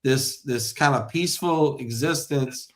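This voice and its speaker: A man's voice: mid-range voice, measured pace. A middle-aged man hosting a conversational local interview show, speaking in an effusive, affirming way. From small-town Louisiana, United States.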